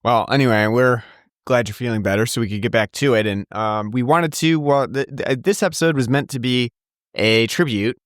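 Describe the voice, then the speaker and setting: A man's voice: medium pitch, normal speed, no room sound, studio quality. A man hosting a casual podcast, rambling with many fillers and restarts. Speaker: podcast host.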